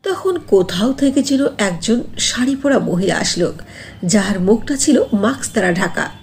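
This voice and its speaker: A woman narrating a scripted cartoon fairy tale in an animated, expressive way. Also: mid-range voice, unhurried, creaky, a little hiss or hum.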